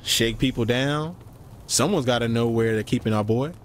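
A man plays a blunt, touchy gangster sidekick in a video game. His middling voice is terse and gruff, with clear noise behind it. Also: medium pitch, moderate pace, lightly acted. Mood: blunt, irritated.

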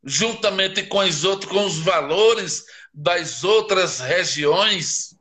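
A man's voice: a little high, sharp tone. A man from Northeastern Brazil with a Northeastern Brazilian accent, a guest writer speaking with passion and emphasis in a live interview, asking rhetorical questions. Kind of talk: live interview over a video stream.

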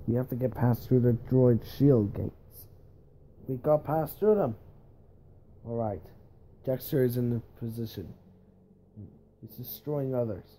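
A man voicing an urgent army commander in halting, repetitive, improvised game-style battle lines. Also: medium pitch, warm tone, slight background noise, pitched above the performer's own voice, lightly acted. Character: urgent male army commander barking orders at troops.

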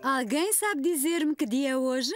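An actress with a European Portuguese accent voicing an encouraging young preschool teacher in a children's cartoon. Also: female voice, high-pitched, expressive, measured pace, over music.

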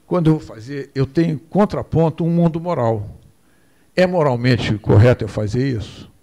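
A man's voice: mid-range voice, warm tone. An older man with a Brazilian Portuguese accent gives a digressive lecture at a podium, now and then asking for the next slide. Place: hall with projector screen, indoors. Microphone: podium microphone.

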